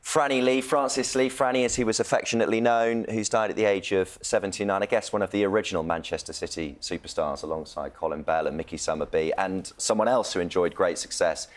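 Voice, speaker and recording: A man's voice: sits low, steady tone, quick pace, hardly any pauses. A man presenting broadcast news live, moving between ad-libbed links and read tributes.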